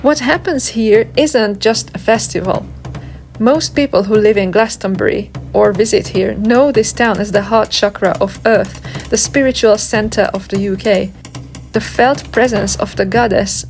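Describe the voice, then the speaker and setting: A woman's voice: medium pitch, darkish tone. A woman reading a scripted documentary narration in a reverent, poetic manner. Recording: music behind the voice. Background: prominent music.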